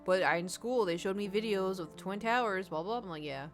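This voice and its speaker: Woman from the US, game streamer, rambling casual chat, mid-pitched voice, music playing behind. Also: fairly quick. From United States. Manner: rambling, chatty, reads and answers chat. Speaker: gaming streamer. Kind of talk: casual chat while gaming. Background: background music.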